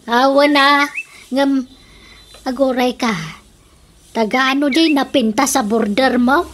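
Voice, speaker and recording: A woman's voice: high voice, slow. A woman playing a nosy, teasing female neighbour in a comedy radio drama, with a prying manner. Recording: slight noise and clicks.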